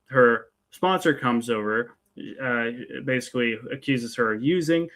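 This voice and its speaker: A man in a casual film review conversation, explaining things in a halting way and circling back as he goes. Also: mid-range voice, a bit bright.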